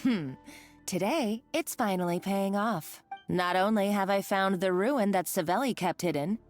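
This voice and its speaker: A woman's voice: mid-range voice, measured pace, lightly acted. A woman voicing a scheming, spiteful villain in a video game, who talks in a taunting, condescending and bossy way. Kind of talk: game voice acting. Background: faint game music.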